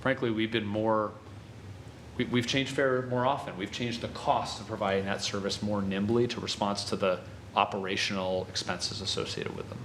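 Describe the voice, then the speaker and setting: A man with a fairly low voice explains transit policy at a public meeting. He speaks in a measured way and restarts now and then. A faint noise runs behind him. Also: level tone, a bit bright, rough recording. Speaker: transit official.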